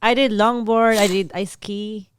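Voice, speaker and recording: Woman, quick, eager agreement. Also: medium pitch, steady tone, a little hiss or hum. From Philippines. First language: Tagalog. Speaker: guest athlete. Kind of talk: casual chat.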